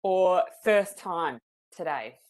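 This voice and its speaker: Lower-voiced Australian woman on a video call. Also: expressive, slow pace, a little mellow. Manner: friendly, chatty, many fillers, reads the chat aloud. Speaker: psychologist leading a webinar. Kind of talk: webinar presentation.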